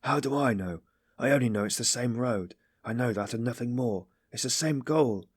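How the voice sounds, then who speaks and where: A man voicing a stern, desperate young man who speaks low with grim determination. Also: moderate pace, husky, dry recording, studio quality, natural voice.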